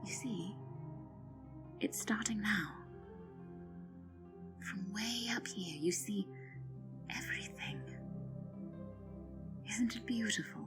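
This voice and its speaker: A woman playing a gracious, sly dragon queen in an audio roleplay, with a poised, theatrical and smoothly hospitable manner. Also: medium pitch, slow, pauses often, music in the background, natural voice. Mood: gracious, dreamy. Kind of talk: scripted audio roleplay, ASMR.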